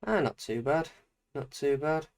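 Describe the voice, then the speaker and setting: A man with a Northern English accent, chatting casually during a drawing livestream, his voice croaky and a little deeper than usual from a slight hangover. He talks in a relaxed way, with frequent laughs. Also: unhurried. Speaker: streamer.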